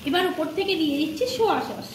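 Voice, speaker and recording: A woman's voice: sits high, expressive, fast. A middle-aged woman chatting casually to viewers as she narrates her home cooking step by step, with little asides. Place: home kitchen. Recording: echoey kitchen with clear sizzling and stirring noise. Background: frying sizzle, stirring.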